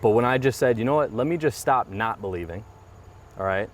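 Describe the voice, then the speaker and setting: A man's voice: medium pitch, animated, brisk, a little hiss or hum. A man in his thirties talking earnestly and reflectively in a casual interview, often repeating his phrases. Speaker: guest, mortgage business owner. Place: outdoors by a parked car.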